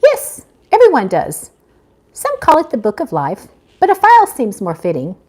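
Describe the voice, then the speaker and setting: A woman's voice: high voice, wildly swooping pitch, normal speed, darkish tone, pitched above the performer's own voice, exaggerated voice. A woman reading a story, voicing a prim, impatient older female angel mentor who instructs snippily. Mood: brisk, instructive. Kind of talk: live audiobook-style reading.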